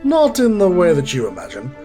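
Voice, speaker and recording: A man playing an ancient, gentle male maker of life in an audio drama, speaking in a solemn, measured way. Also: high-pitched, wildly swooping pitch, music in the background.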